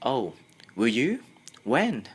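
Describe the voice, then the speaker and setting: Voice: medium pitch, big pitch swings, very slow, husky, pitched above the performer's own voice, full character voice. A young reader with a Thai accent voicing a curious, proud little schoolgirl in an audiobook, sounding inquisitive.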